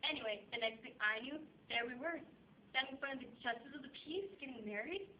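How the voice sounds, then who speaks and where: Young woman playing flighty girlish divorcee, high-pitched. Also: fast, roomy sound, lightly acted. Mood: chatty, dramatic. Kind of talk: comic stage monologue. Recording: dull, noisy amateur recording with low rumble.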